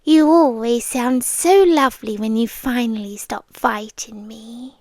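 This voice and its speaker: Woman acting a high-voiced, possessive British vampire girlfriend. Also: no room sound. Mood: tender, coaxing. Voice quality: soft, breathy, often slipping into whispers. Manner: slow, coaxing, lingering delivery. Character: possessive, lovesick immortal vampire girlfriend, tender yet menacing. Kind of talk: ASMR girlfriend roleplay, close and intimate.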